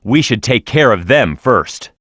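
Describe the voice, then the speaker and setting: A man's voice: mid-range voice, expressive, a little slow, a bit bright, husky, dry recording, studio quality. A man voicing a tough, hot-headed echidna brawler in a video game, confident and brash, with punchy, emphatic delivery. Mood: instructive, firm. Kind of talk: video game voice acting, tutorial hints and battle shouts.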